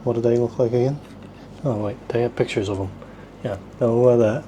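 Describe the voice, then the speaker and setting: Male food-vlog host, average pitch, rambling and self-deprecating, recorded amid clear ambient noise. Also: fairly even intonation, brisk, warm tone. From South Korea, at least partly. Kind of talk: casual food-tasting vlog chat. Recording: clearly noisy ambient background.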